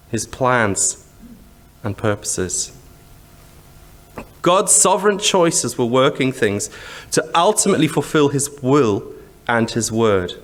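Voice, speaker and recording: A male preacher with a Zimbabwean accent delivers a sermon in a somewhat high, lively voice that moves freely up and down. He explains things conversationally, posing rhetorical questions and repeating short phrases for emphasis. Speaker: church preacher.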